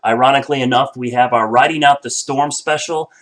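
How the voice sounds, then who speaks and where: A man's voice: mid-range voice, brisk. Stuffy-voiced male weather forecaster. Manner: explanatory, relaxed, with frequent fillers. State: stuffy, congested from a cold. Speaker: chief meteorologist. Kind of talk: weather briefing.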